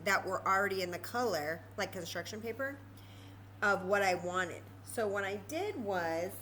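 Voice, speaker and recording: A woman's voice: mid-range voice, fairly bright tone, slight background noise. A woman hosting a children's library story time and craft lesson, explaining simply step by step in an informal, chatty way.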